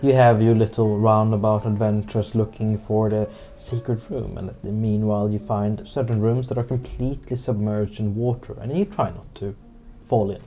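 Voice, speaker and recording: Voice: medium pitch, flat delivery, moderate pace, mellow, faint music behind. A game master narrating an improvised tabletop story in an informal, wry way.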